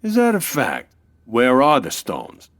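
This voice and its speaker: An actor playing a sardonic, menacing gangster boss in a comedic old-time radio crime drama, husky and clipped. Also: male voice, medium pitch, wildly swooping pitch, takes it slowly, a bit bright, heavily acted. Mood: bargaining, threatening. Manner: clipped wisecracking tough-guy talk.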